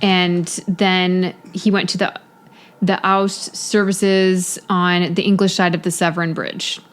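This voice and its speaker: Low-voiced woman recounting a case. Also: flat delivery, takes it slowly. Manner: conversational, many fillers like "you know". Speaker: podcast co-host. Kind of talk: casual chat, recounting a case.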